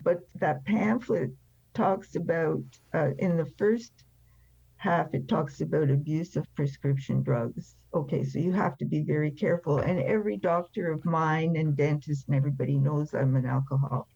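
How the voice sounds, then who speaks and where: A woman's voice: low voice, normal speed, vocal fry, dark tone, slightly rough, dry recording. An elderly woman telling her personal story as an interview guest, blunt and emphatic in the way she talks. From France. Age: 70s or older. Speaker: interview guest, recovery speaker. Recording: slightly dull, band-limited sound.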